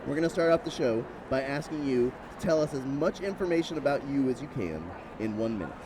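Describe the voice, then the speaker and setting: A man's voice: medium pitch, animated, fairly quick, noisy background. A man hosting a show from a van, upbeat and playful as he interviews his guest. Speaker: show host.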